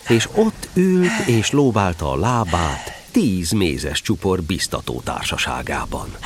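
A man's voice: lowish voice, noisy background. A man narrating a children's story expressively, playfully drawing out phrases for effect.

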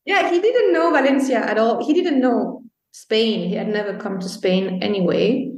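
A woman's voice: fairly high pitch, moderate pace, a little mellow. A woman from Belgium, a guest on a podcast, telling her story in a chatty way with many ums. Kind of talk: casual interview talk. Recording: roomy echo.

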